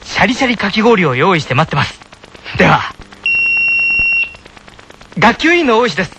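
A man's voice: high-pitched, very animated, moderate pace, heavy background noise. A man playing an earnest, polite teenage tennis club vice-captain in a comic anime radio sketch. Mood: earnest, cheerful. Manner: polite, eager, self-announcing. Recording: loud music bed behind voice. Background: music.

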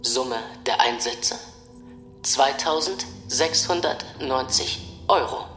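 An actress playing an impersonal online lottery announcement system in a radio drama, flat and segmented. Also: female voice, a bass voice, takes it slowly, full character voice. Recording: echoey room with music behind.

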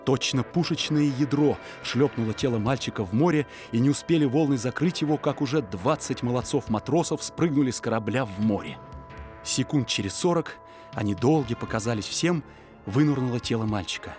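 A man reading a story aloud as an audiobook narrator, in an expressive, theatrical manner. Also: mid-range voice, brisk, faint music behind. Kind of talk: dramatic scripted story narration.

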